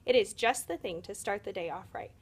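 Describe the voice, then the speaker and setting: A woman voicing a practical, patient mother in a children's storybook, even-tempered. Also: medium pitch, fairly quick, breathy edge, lightly acted.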